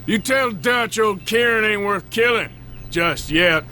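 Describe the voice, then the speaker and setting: A man playing a gruff, menacing western outlaw in a video game, giving terse, commanding threats with grudging mercy. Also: high-pitched, measured pace, bright, forceful tone, slight background noise.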